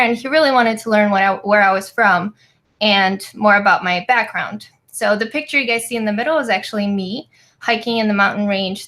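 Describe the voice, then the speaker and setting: A woman in her twenties, originally from Poland, explains her path into engineering in an earnest way with plenty of "uh"s. Her voice is bright and forward. She talks over a video call from home. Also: mid-range voice. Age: 20s. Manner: earnest, frequent fillers. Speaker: guest engineer. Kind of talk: webinar talk, personal story.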